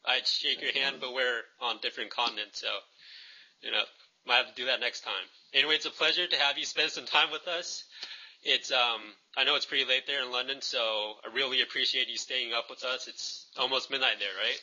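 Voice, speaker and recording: A man with a very bright, forceful voice hosts an interview. He asks his questions in an easygoing, chatty way. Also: medium pitch, fairly even intonation, fairly quick, rough recording. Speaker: interviewer, host. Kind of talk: friendly interview.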